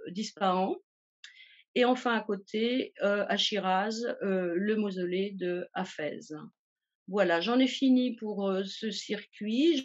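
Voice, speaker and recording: A woman's voice: mid-range voice, lo-fi sound. A woman from a travel agency presenting a tour itinerary from slides, hesitating often with fillers and restarts.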